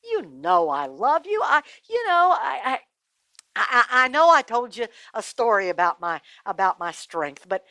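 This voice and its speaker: A woman's voice: fairly high pitch, very animated, normal speed, pushed and bright, no room sound, exaggerated voice. Woman acting out a gullible, cajoling strongman. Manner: cajoling, stammering, offhand. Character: gullible, boastful strongman, exasperated lover in Bible tale. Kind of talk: oral Bible storytelling for children.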